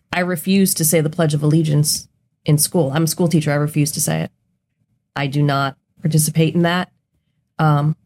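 A woman co-hosting a casual podcast, speaking with earnest emphasis and blunt conviction. Her voice sits a little low, and she drives her points home plainly. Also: darkish tone. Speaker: podcast co-host. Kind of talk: casual conversational podcast chat.

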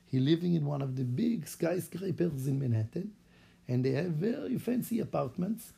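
A man's voice: fairly high pitch, gravelly, warm tone, breathy edge, full character voice. A man putting on an eager Israeli rabbi. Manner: eager, chatty storytelling in broken English. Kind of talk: acted-out story for children.

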